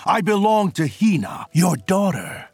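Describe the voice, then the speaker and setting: A man's voice: high-pitched, sing-song, a little slow, gravelly, slight background noise, exaggerated voice. A man playing a proud, defiant young demigod who boasts and stammers when caught out.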